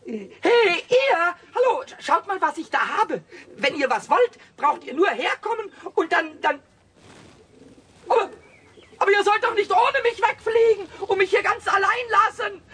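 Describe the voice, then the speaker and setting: Squeaky excitable little goblin kid, stammers, swings all over, old radio play with a bit of noise. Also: male voice, measured pace, full character voice. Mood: pleading, defiant. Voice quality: squeaky, shrill. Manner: stammering excitedly, repeating words, exclaiming. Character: cheeky little male kobold, childish, excitable, easily scared. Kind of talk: 1970s children's radio play acting.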